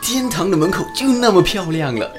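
A woman playing an eager, awestruck and excitable soul newly arrived in heaven, in an audio drama. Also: fairly low pitch, brisk, music in the background, lightly acted.

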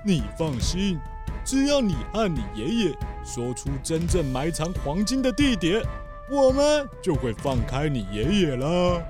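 Woman playing a taunting cartoon bear villain, swinging pitch. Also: sing-song, slow pace, over music. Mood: gloating menace. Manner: taunting, threatening. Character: menacing bear villain holding an old man hostage. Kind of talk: children's audio drama acting.

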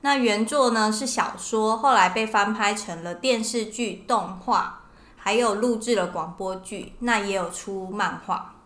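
A woman's voice: mid-range voice, unhurried, a bit bright. Taiwanese woman hosting in an echoey room. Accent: Taiwanese Mandarin. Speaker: podcast host. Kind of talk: casual chat, explaining to listeners. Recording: roomy indoor echo.

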